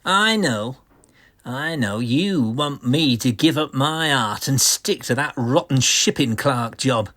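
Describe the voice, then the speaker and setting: A man's voice: mid-range voice, expressive, slow pace, bright, forceful tone. A man playing a bitter, arrogant, deluded artist in a melodramatic horror comic audio drama. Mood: bitter, sullen. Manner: melodramatic, resentful.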